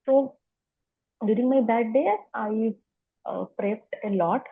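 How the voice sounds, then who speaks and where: A woman's voice: a little high, slow, gravelly, narrow, tinny audio. A woman who stammers, repeating words and sounds as she answers interview questions. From India. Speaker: interview guest. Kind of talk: conversational interview.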